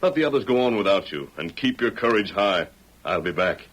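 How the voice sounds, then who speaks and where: An actor playing a calm, noble masked western hero, with measured, commanding American speech in an old-time radio drama. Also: male voice, lowish voice, slightly rough. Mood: reassuring. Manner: measured, stately, reassuring. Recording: noisy old radio recording.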